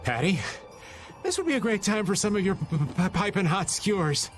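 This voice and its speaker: A man's voice: mid-range voice, very animated, moderate pace, slight background noise. A man voicing a goofy, whining middle-aged adventurer with hesitant, theatrical delivery in an anime video game dub. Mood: shivering, whining.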